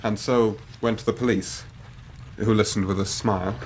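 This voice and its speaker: An English man acting an anxious young gentleman seeking help in a radio drama, earnest and formal. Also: on the low side, fairly even intonation, moderate pace, a little hiss or hum, natural voice. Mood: troubled, earnest.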